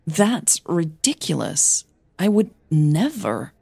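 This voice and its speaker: Audio drama daughter, adult, defensive and uneasy, low-pitched with slow delivery, acted by a woman reader. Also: very animated, creaky, warm tone, husky, no room sound, exaggerated voice. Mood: worried, indignant. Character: defensive, uneasy grown daughter of a wealthy mother.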